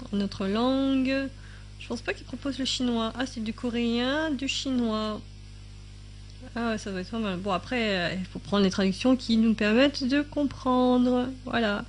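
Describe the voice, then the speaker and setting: Chatty woman demoing subtitles, fairly high voice. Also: slight background noise. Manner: chatty, thinks aloud with many fillers; reads out translated subtitles in other languages. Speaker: YouTube tutorial maker. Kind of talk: casual screen-recording tutorial.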